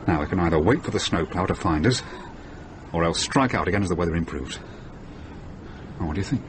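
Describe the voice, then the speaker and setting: An actor playing a worldly, wisecracking middle-aged van driver in a radio drama, blokey and matter-of-fact. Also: male voice, sits low, animated, fast. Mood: decisive, wry. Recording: noisy radio drama with background ambience.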